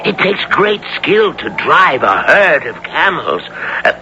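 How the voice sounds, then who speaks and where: An actor playing a greedy, wheedling merchant in a theatrical, effusive 1970s radio drama style. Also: male voice, fairly high pitch, very animated, takes it slowly, noisy background. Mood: wheedling, flattering. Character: greedy, wheedling merchant turned repentant blind beggar. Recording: muffled old radio recording with music.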